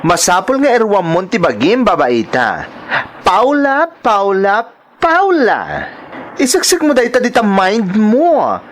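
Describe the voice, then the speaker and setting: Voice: medium pitch, huge pitch swings, slow, slight background noise. A performer with an Ilocano accent playing a sassy, bossy, evasive transgender woman in an audio drama, flamboyant and scolding. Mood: indignant, sassy. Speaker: voice actor in a radio-style drama.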